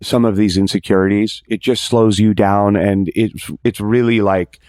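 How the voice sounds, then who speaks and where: A man guesting on a podcast, talking casually and reflectively, often halting as he searches for his words. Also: lowish voice, fairly even intonation, darkish tone, clear, resonant tone, no room sound, studio quality.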